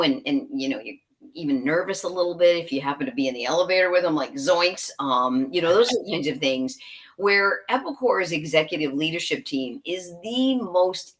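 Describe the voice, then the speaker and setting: Female interview guest, low voice, loose and chatty. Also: a bit bright. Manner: chatty, laughing, rambling with asides. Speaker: guest, software company executive. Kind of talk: conversational interview.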